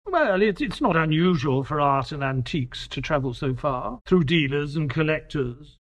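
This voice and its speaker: An actor playing an earnest detective's companion and narrator in a scripted audio drama. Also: male voice, fairly high pitch, animated, normal speed. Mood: curious, wry.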